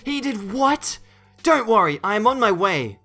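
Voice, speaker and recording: A man's voice: a little high, expressive, moderate pace, a bit bright, faint music behind, voice pushed up. A man voicing an ill, anxious, apologetic mother in a light, raised voice in a story. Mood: shocked.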